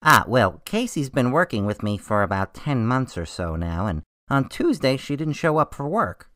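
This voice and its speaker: Animated, earnest medical examiner. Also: male voice, mid-range voice, normal speed, no echo, studio quality. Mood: worried, explaining. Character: earnest, anxious male medical examiner consulting a detective. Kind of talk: radio drama acting.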